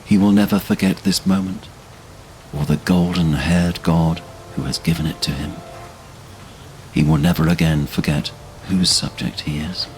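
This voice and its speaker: A man narrating a literary fantasy audio drama in a solemn, measured, dramatic way. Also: very deep voice, steady tone, a little mellow, a little hiss or hum.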